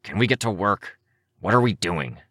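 A man's voice: on the low side, expressive, moderate pace, a bit bright, dry recording, studio quality, voice pushed up. A man voicing a surly, short-tempered hulking male mutant with a growling voice in a story.

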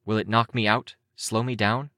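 A composed young heroine from a story, read by a man. She speaks in a raised pitch, firm and probing, practical and protective, in dry close sound. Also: fairly even intonation, moderate pace, bright, forceful tone, dry recording. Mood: wary, scolding.

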